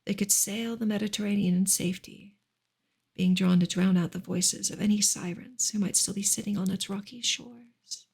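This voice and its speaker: Female ASMR narrator for a literary audiobook: very breathy, gentle, near-whispered read, restrained intonation. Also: medium pitch, fairly even intonation, normal speed. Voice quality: breathy, often slipping into whisper. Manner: gentle, hushed delivery. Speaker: narrator reading a novel aloud. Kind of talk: soft-spoken ASMR audiobook reading.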